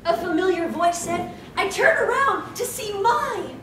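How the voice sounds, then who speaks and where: A woman's voice: extremely high pitch, lively intonation, a little slow, a bit bright. A young woman hosting a fan panel, reading fan fiction aloud in a theatrical, giggly way, with joking asides. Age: young adult. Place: convention panel room stage. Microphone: far camera. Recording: distant camera in echoey noisy hall.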